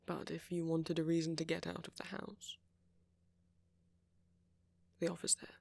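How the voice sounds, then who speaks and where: A man playing a friendly, flirty vampire in an ASMR roleplay, speaking softly, politely and coyly. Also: on the high side, completely flat delivery, unhurried, vocal fry, no room sound. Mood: friendly, gently flirty. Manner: soft-spoken, polite, coy, chuckling. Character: friendly flirty gentle male vampire, shyly hopeful.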